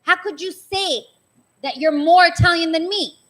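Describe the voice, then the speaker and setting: A young woman from Canada, co-hosting a casual talk show and teasing her co-host in an animated, argumentative way. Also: very high voice, normal speed, rough recording. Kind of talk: casual chat.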